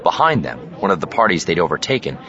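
A man's voice: low-pitched, big pitch swings, fast talker, pushed and bright. A man reading science fiction as a dramatic, scripted audiobook narration. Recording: slightly dull studio track over ambient effects.

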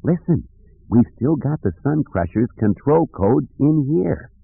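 A man's voice: lowish voice, very animated, moderate pace, breathy edge, dry recording, phone-quality sound, exaggerated voice. A man voicing an urgent, loyal starship pilot with an emphatic, pleading manner in an audiobook. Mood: conspiratorial.

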